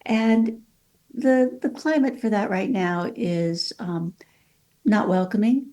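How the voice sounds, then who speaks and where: A woman in her fifties with a Californian accent and a mellow, dark-toned voice. She talks chattily as an interview guest, winding through her answers with fillers and restarts. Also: medium pitch, takes it slowly. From Mexico City. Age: 50s. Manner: chatty, many fillers, voices her own past thoughts. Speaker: author, guest. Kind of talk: casual interview talk.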